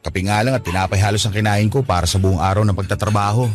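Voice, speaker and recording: An actor playing a thoughtful, kind young working man in a radio drama, talking in a calm, reflective way. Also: fairly low pitch, quick pace, natural voice. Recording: music underneath.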